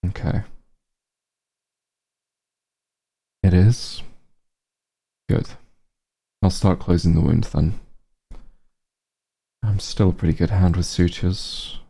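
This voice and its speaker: A man playing a gentle, concerned doctor who is an old friend, speaking slowly and soothingly in a soft ASMR roleplay, with a low, husky voice. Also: steady tone, full character voice. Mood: calm, reassuring. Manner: slow, soothing, reassuring.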